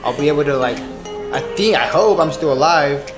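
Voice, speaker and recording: A man's voice: on the high side, animated, brisk, music in the background. A man in his twenties, a barber and vlogger, chatting casually and rambling with lots of fillers and restarts. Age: 20s. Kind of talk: casual chat.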